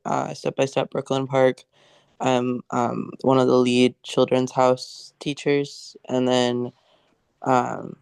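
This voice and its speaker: A male teacher checking in casually from his car after work, low-key and even-toned with a creaky edge. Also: no echo. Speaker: lead children's house teacher. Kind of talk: casual self-introduction. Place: in a car, driving.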